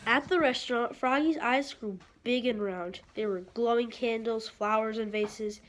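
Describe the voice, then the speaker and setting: A woman's voice: a little high, normal speed. A woman reading a children's story aloud expressively, with casual asides. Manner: expressive, acts out sound effects. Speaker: picture book reader.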